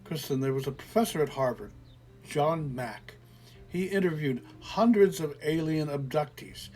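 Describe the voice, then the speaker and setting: A man reading an audio story, voicing a calm, skeptical grown man who patiently explains things away in a soothing, lecturing way. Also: fairly high pitch, moderate pace, lightly acted. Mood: patiently explaining.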